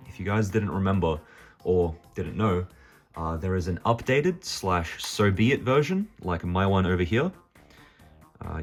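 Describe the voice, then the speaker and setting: A man with an Australian accent talking casually and off the cuff to viewers as he presents a collection, rambling a little with hesitant asides. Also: sits low. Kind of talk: casual unscripted show-and-tell to viewers.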